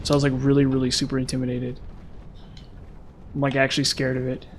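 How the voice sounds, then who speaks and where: A young man streaming a game, chatting casually with viewers in a rambling way, his voice slightly hoarse from long talking. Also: mid-range voice, monotone, fast, a little hiss or hum. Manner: rambling, lots of "like" and "um". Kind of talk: casual live-stream chat while gaming.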